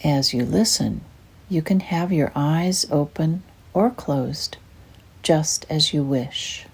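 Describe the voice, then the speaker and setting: An older woman with a soft, breathy, husky voice, gently and soothingly narrating a guided meditation in an unhurried way. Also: low-pitched.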